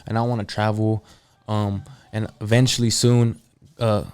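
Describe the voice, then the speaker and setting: A man's voice: fairly low pitch, flat delivery, moderate pace, darkish tone, clean tone. A man in his early twenties, a barber, talking in a casual group chat and rambling with lots of "like" and "you know.". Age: 20s.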